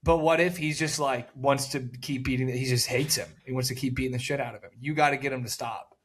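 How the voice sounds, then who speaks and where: A man co-hosting a casual sports talk show, rambling and repeating words, with a loud voice that cuts through noise. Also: mid-range voice, steady tone, fast. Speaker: podcast co-host.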